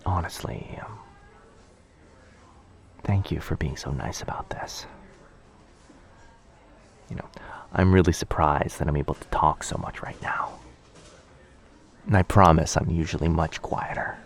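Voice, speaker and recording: A man acts out a shy, bookish young man in a boyfriend ASMR audio drama. His voice is low, with a creaky fry at its edges. He speaks softly and breathily, hesitant and gently nervous. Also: a little slow, long pauses, full character voice. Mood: shy, reflective. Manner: hesitant, soft-spoken, breathy, self-effacing. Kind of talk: intimate boyfriend ASMR roleplay.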